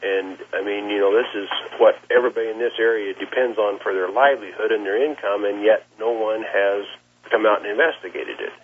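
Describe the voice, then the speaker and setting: A man, a plain-spoken cattle rancher giving a halting account in an interview over the telephone. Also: lowish voice, steady tone, slight background noise. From Nebraska, United States. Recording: muffled, lo-fi phone interview line.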